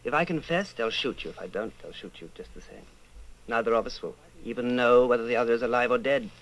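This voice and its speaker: Male actor as earnest rebel, medium-pitched. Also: fast, fairly bright tone, natural voice, rough recording. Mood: intense, fatalistic. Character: earnest, fatalistic man, a secret rebel. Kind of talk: old-time radio drama acting.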